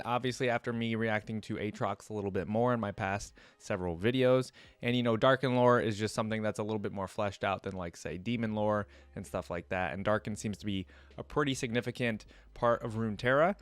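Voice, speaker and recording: A man with a fairly deep voice hosts a reaction video in a dry-sounding space. He moves between reading text aloud and offering casual commentary, recapping what he reads in plain, everyday words. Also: fairly quick, dry recording.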